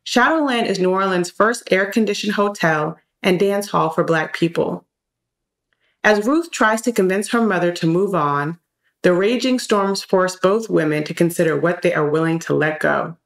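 A woman's voice: fairly low pitch, moderate pace. A woman hosting a discussion series, reading scripted narration. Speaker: host.

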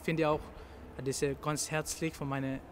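Halting Filipino-accented man, noisy background. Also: on the high side, flat delivery. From Philippines. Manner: halting, searching for words with many fillers. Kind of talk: interview answers. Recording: noticeable background noise.

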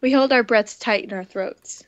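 A woman's voice: fairly high pitch, normal speed, lo-fi sound. A playful, giggly woman hosting a casual gaming playthrough, chatting and reading story text aloud. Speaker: gaming video host. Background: music.

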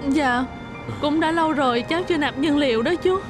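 An anxious young female cartoon truck, acted by a woman, earnest and caring. The voice is very high and sounds worried. Music plays clearly behind it. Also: brisk, natural voice. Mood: weary. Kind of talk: children's cartoon dubbing.

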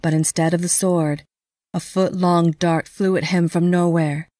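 A woman reading scripted narration for a dark fantasy audio drama. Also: on the low side, level tone, measured pace, slight background noise.

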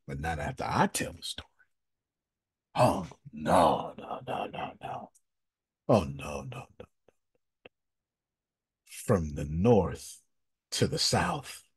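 A man hosting a casual coaching call over video, animated and emphatic, with slangy, repetitive phrasing. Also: deep voice, husky. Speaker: business coach and host.

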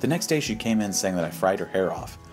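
Low voiced guy doing jokey skeptical commentary, reads posts out loud, bit of music under it. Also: lively intonation, fast talker. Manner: slangy, joking, skeptical. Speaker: host of a reaction show. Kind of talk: casual commentary, reading posts aloud. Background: background music.

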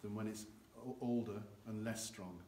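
A man's voice: lowish voice, fairly even intonation, moderate pace, warm tone. An older man explaining cognac aging as a guide in a warehouse, gesturing with his hands as he talks, recorded by a camera a few meters away. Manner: explanatory, gestures with both hands while talking. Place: large dim barrel warehouse with earthen floor. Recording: echoey warehouse, faint noise.

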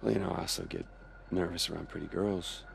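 An actor playing a nervous, cheeky young rebel in a game, gravelly and hesitant, stammering evasively. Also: male voice, deep voice, wildly swooping pitch, fast, slight background noise, exaggerated voice. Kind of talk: video game cutscene acting. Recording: loud music under the voice.

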